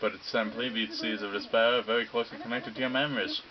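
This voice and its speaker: A man voicing a gleeful, sinister male robot bear headmaster who lectures in a mocking, theatrical, drawn-out way. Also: medium pitch, fairly even intonation, muffled recording, a crowd in the background, close to an everyday voice. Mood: sinister lecturing. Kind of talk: video game dub reading.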